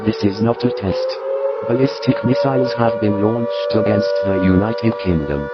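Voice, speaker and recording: A man's voice: lowish voice, normal speed, highs cut off, very noisy. A man's synthetic, impersonal voice giving a formal scripted emergency warning as an alert announcer.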